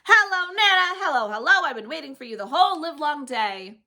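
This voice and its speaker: Brief: hyper little girl, high squeals and shouts, read by a woman. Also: animated, fairly quick, exaggerated voice. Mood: excited. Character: excitable loud little girl narrator-heroine.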